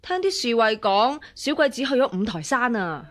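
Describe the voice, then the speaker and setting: Spoiled teenage-like princess, Hong Kong Cantonese radio drama; pouty wheedling, high, sharp, faint music. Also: female voice, very animated, normal speed. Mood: childish, insistent. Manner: whiny, pouty, coaxing.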